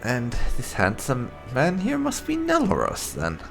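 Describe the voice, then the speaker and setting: A man's voice: mid-range voice, huge pitch swings, unhurried, vocal fry, a bit bright, soft background music. A man role-playing a game character in a cheeky, teasing, thoughtful way. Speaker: gaming channel player role-playing his character. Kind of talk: in-character roleplay of game dialogue.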